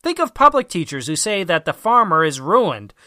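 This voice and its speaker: A man narrates a scripted essay in a crisp-edged, bright voice pitched a little high. His delivery is measured and formal, leaning firmly on key words. The sound is dry, with no room around it. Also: big pitch swings, dry recording. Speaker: audiobook reader.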